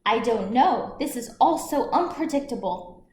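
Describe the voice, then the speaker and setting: A woman's voice: on the high side, expressive, unhurried, a little mellow. A woman reader voicing a mild, cautious, easily amazed husband in a children's storybook. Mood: doubtful. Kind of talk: storybook read-aloud for children. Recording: roomy echo, some background noise.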